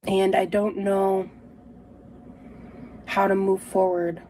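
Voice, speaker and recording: A woman vlogger talking confessionally about herself in a halting, introspective way. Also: mid-range voice, monotone, dark tone, slight background noise, rough recording. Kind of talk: confessional personal talk.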